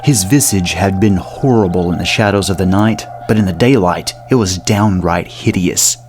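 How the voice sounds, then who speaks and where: A man narrating a scripted gothic horror audio drama in a measured, dramatic delivery. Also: deep voice, darkish tone. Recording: music under the voice.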